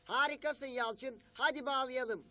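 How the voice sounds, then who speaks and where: A man voicing a hesitant but eager young character in a children's cartoon, musing aloud haltingly. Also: extremely high pitch, pushed and bright, no room sound, exaggerated voice. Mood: unsure.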